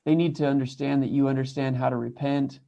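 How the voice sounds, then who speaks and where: A man giving sincere, casual advice as a podcast guest, reflective and measured in how he talks. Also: mid-range voice, fairly even intonation, gravelly, warm tone. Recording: slightly dull, lo-fi audio.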